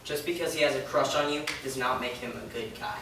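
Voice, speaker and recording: A man's voice: medium pitch, a little fast, pushed and bright, lightly acted. Man acting an impatient young man, very echoey. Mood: impatient, curt. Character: impatient, pragmatic young man, urging escape. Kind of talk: staged reading drama acting. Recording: echoey room, distant mic, faint noise.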